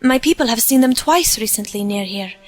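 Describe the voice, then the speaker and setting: A woman's voice: fairly high pitch, expressive, a little fast, soft background music. A woman playing an earnest young woman in a period audio drama, giving solemn, plain-spoken warnings.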